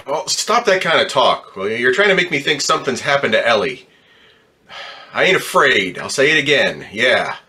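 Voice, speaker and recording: A man's voice: medium pitch, big pitch swings, normal speed, sharp tone. A man playing an embittered, distraught ambulance driver in slangy, stammering old-time radio drama style. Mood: bitter, grumbling. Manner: slangy working-class talk, stammering when upset.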